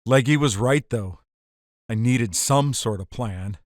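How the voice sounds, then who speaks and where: A hardboiled ex-bootlegger, acted by a man tells his caper in a noir radio play. His delivery is terse and wry, at a middling pitch, recorded very dry and close. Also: slow pace, dry recording, close to an everyday voice. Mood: wary, resolved. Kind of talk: noir audio drama narration.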